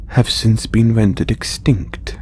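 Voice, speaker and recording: A man playing a condescending, possessive alien trophy hunter in an audio roleplay, speaking deliberately in breathy half-whispers with long pauses. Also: lowish voice, steady tone, a little mellow, a little hiss or hum. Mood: smug, menacing. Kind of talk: slow audio roleplay monologue to listener.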